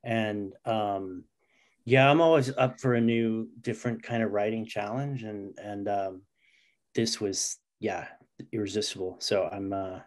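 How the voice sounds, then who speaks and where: Lowish-voiced middle-aged man, halting. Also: steady tone. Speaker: guest comics writer. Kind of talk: casual interview chat. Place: indoor video call.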